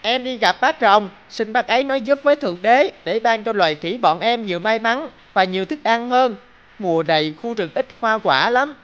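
A woman's voice: a little high, normal speed, highs cut off, close to an everyday voice. A woman voicing a cheerful, plucky young cartoon monkey with an upbeat, determined manner. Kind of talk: cartoon folk tale dub.

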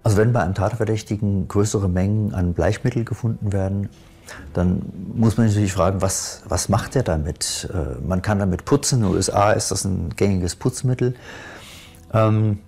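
A man's voice: lowish voice, moderate pace, creaky, a little mellow, slightly rough, faint music behind. A man gives a hesitant, unscripted explanation as an expert in a documentary interview.

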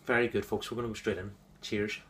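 A man giving an enthusiastic, casual beer review, repeating words and filling pauses with ums. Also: fairly low pitch, little pitch movement, a bit bright, slight background noise.

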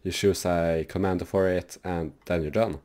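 A man hosting a casual, loose software tutorial for his gaming channel, rambling with lots of fillers. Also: sits low, steady tone, normal speed.

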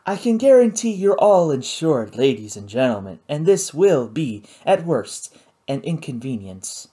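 Brief: narrator doing a hammy pirate captain, pitched up, grand and sly. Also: very animated, measured pace, mellow, slightly rough. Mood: grandiose. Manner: grand, mocking, showy delivery. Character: theatrical male pirate captain, mock-genteel and menacing.

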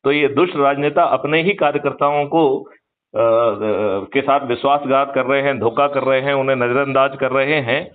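A man's voice: mid-range voice, steady tone, moderate pace. An older man talking persuasively about politics on a phone call, emphatic and lecturing, often asking rhetorical questions for agreement. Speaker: activist, retired police officer. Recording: muffled narrow-band phone call recording.

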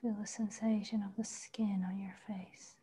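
A woman leading a guided body meditation, speaking slowly and softly, often in a half whisper. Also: medium pitch, flat delivery, breathy edge. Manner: slow, soft, partly whispered guidance. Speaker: meditation teacher. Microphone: video call.

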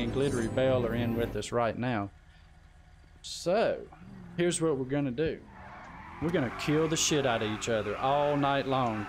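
A man hosting a casual gaming livestream, chatting informally and giving shout-outs to viewers by name. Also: medium pitch. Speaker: live stream host.

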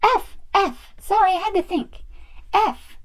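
Woman putting on a high, eager little girl toy voice. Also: lively intonation, slow, exaggerated voice. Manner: exclamatory, singsong. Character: cheerful eager little girl toy who loves learning. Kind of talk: children's toy pretend play.

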